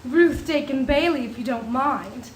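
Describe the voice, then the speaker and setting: A woman's voice: high voice, measured pace. A woman playing an outspoken, teasing small-town family woman in a radio play. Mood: playful. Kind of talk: stage radio play acting. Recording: echoey theatre, faint noise.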